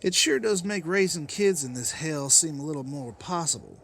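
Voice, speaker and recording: A man acting as an earnest survivor leader in a comic-book drama. His voice sits a little high and moves in lively swings as he makes his case persuasively. There is some noise behind him. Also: normal speed, creaky. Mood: reflective. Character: Rick, earnest, persuasive man leading zombie-apocalypse survivors.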